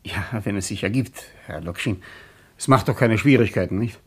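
Eager businessman, husky, polite, wordy, persistent, radio play dialogue. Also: fairly low pitch, normal speed. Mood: politely insistent. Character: Richard, eager West German industrial book publisher.

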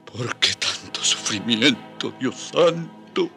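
A man's voice: mid-range voice, animated, takes it slowly, gravelly, sharp tone, soft background music, exaggerated voice. A man playing an elderly, devout and stubborn friar bishop in a radio drama, with an emotional, pleading manner. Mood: shocked grief. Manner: emotional, hesitant repetitions, pleading.

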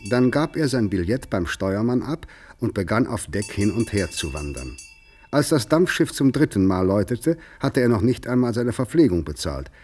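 An actor narrating a literary mystery tale in a 1950s radio play, measured and deliberate. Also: male voice, lowish voice, sing-song, darkish tone, husky. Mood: measured storytelling. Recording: 1959 radio studio recording.

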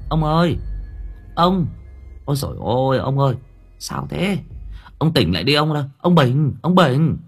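A man voicing a worried, grieving middle-aged wife in a horror story, fretting softly and plaintively. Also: sits high, very animated, a little slow, gravelly, pauses often, husky, voice pushed up, exaggerated voice. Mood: alarmed, worried. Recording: background music under the voice.

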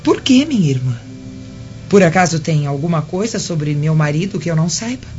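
An actress playing a composed, trusting Roman governor's wife in a radio drama. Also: female voice, low-pitched, lively intonation, moderate pace. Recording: dull lo-fi, music behind.

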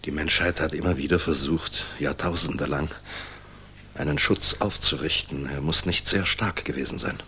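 An actor playing a world-weary, middle-aged general in a 1960s German radio play, speaking in a measured, solemn, reflective manner. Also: male voice, very deep voice, bright, forceful tone, breathy edge, full character voice. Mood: grave.

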